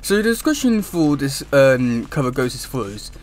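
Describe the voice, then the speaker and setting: A young man chatting casually to camera as a YouTube host, with a halting, wordy delivery. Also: medium pitch, sing-song, faint music behind. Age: young adult. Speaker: YouTube host reviewing comic news. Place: small room indoors at home.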